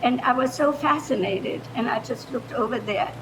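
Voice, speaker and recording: An older woman with a German accent, speaking off the cuff in a halting way as a retired language teacher, in a noisy recording. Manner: halting, hesitant delivery. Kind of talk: improvised public remarks. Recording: noisy room recording with low rumble.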